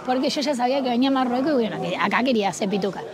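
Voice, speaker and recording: An Argentine woman chats away on a travel vlog, quick to gush about what she sees. Her voice sits a touch high. The noise of a bustling crowd hangs all around her. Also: animated. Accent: Argentine Spanish. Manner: chatty, effusive. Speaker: travel vlogger. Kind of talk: casual chat on a travel vlog. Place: busy outdoor market in an old medina. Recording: busy crowd noise outdoors.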